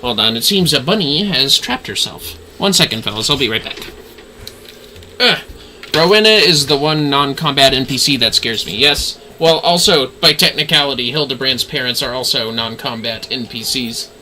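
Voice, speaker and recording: A man's voice: mid-range voice, moderate pace, bright, forceful tone, slight background noise. A man giving casual, rambling livestream game commentary, swearing now and then. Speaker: game streamer.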